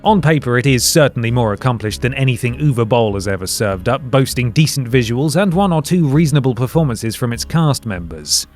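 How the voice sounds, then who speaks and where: A man's voice: mid-range voice, lively intonation, fairly quick, a little mellow. A man narrating a scripted video game review with a dry, sardonic delivery. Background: faint background music.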